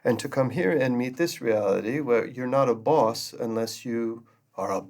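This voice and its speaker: A man's voice: medium pitch, normal speed, darkish tone. A man speaking as a guest in a casual panel conversation, explaining his experience and often repeating words as he goes. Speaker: podcast guest.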